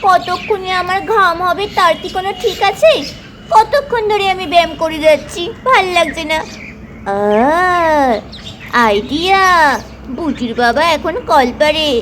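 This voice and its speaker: An extremely high-pitched village wife from a doll cartoon, acted by a woman, sly and given to grumbling. She exclaims over clearly audible background noise. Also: lively intonation, a little slow, fairly bright tone, heavily acted. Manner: exclaiming, complaining. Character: grumbling, work-shy village wife and mother, slyly dodging exercise. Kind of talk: acted children's doll-play cartoon dialogue.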